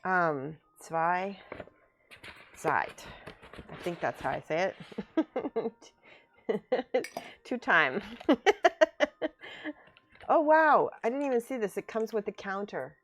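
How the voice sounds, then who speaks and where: A woman's voice: mid-range voice, lively intonation, slow, long pauses, a bit bright, a little hiss or hum. A woman hosting a casual livestream, chatting and laughing as she reads viewer comments aloud. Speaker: miniature painting streamer. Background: faint background music.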